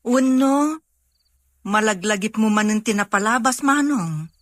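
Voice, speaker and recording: Woman acting concerned, gentle younger sister. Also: on the high side, expressive, a little slow, dry recording, clean studio sound. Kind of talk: amateur Filipino audio drama acting.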